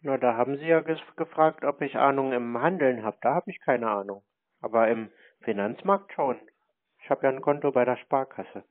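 A man chatting casually on a call, deadpan and acting naive as he strings along a sales agent. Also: mid-range voice, normal speed. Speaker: prank caller baiting scammers. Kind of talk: casual phone chat. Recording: narrow phone-like sound.

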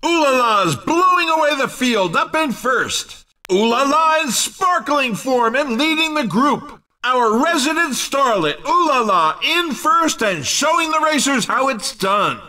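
Exuberant video game racing commentator, measured pace but swooping, animated intonation, theatrical and cheeky. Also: male voice, extremely high pitch, recorded from a distance, full character voice, low-quality recording. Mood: excited. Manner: hyped, theatrical, punning delivery. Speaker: race commentator.

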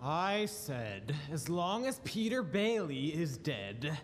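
A man's voice: a little high, sing-song, soft background music. A man playing a cold, haughty old businessman, the villain of a live radio play. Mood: scornful. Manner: haughty, deliberate. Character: cold, contemptuous, warped old male businessman. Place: large school auditorium stage. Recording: echoey auditorium.

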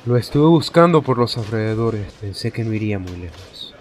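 A man's voice: lowish voice, expressive, moderate pace, slight background noise, close to an everyday voice. Anxious, guilt-ridden father, acted by a man, Latin American accent. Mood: anxious, subdued. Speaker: fan dub voice actor. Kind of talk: dramatic video game dub.

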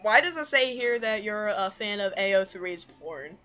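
A woman's voice: mid-range voice, lively intonation, normal speed, bright, forceful tone. A young woman improvising a comedic cartoon fan dub in a giggly amateur way, often slipping out of character. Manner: giggly, swearing, breaks out of character.

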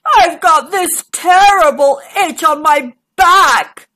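A woman voicing a big, grumpy but soft-hearted male sea monster in a storybook, with exaggerated whining. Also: extremely high pitch, slow, heavily acted. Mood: whiny, apologetic.

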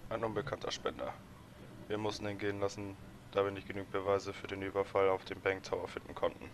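A man playing a brisk, helpful, dry police chief in an audio drama. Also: deep voice, monotone, a little hiss or hum, close to an everyday voice.

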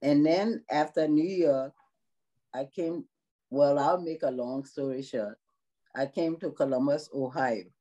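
A middle-aged woman with a Liberian accent talks slowly and earnestly over a video call. Her voice is dark and mellow. She takes her time over each thought, like someone sitting in a small room and telling her story. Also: sits low. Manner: slow, earnest, measured. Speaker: nursing assistant in long-term care.

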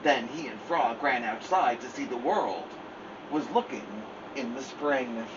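Expressive man reading a children's storybook. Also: medium pitch, a little slow, distant mic, dull audio, background noise. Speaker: story time host. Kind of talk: children's storybook read-aloud. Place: small room at home.

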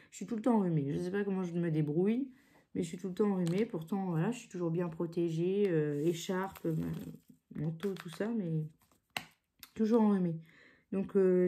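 Brief: woman vlogger, congested nose, low-ish dark voice, rambling casual chat with lots of fillers. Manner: rambling, hesitant, many fillers and restarts. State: stuffy nose from a cold. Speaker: craft channel host. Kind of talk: casual chat while crafting. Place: home craft table, indoors.

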